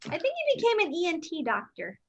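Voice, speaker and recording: A woman's voice: very high voice, huge pitch swings, quick pace. A woman bantering as a panelist in an improvised comedy game show.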